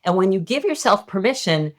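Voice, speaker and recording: A woman's voice: medium pitch, very animated, fairly quick. A middle-aged woman, a hypnotist and medium, talking candidly and casually as a podcast guest. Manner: casual and candid, occasional swearing. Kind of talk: podcast interview, conversational teaching.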